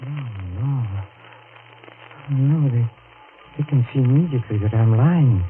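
A man's voice: mid-range voice, unhurried, lightly acted. A guilt-wracked murderer as voiced by a man stammers and spirals toward hysterical laughter in an old radio thriller. The broadcast is thin and tinny under a faint hiss. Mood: rehearsing. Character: desperate man who killed a rival. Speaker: radio drama actor. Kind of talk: 1940s radio acting.

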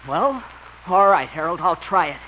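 A man's voice: high-pitched, lively intonation, normal speed. An actor playing a timid, gullible lovesick young man in a 1950s radio sitcom, talking anxiously. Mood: plaintive. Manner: anxious, plaintive. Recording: dull old radio transcription with hiss.

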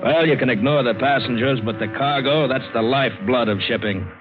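An actor playing a cynical, hard-boiled American ship's mate, narrating and speaking tersely in a vintage radio adventure drama. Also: male voice, medium pitch, a little fast, lightly acted. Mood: cynical, brisk. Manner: terse, sardonic, tough-guy delivery. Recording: dull old radio broadcast, loud music.

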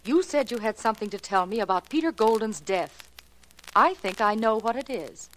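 An actress playing a guarded, uneasy fiancée in a 1940s radio drama, reserved and polite but firm. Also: female voice, on the high side, expressive, moderate pace, close to an everyday voice. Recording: noisy old radio recording with clicks.

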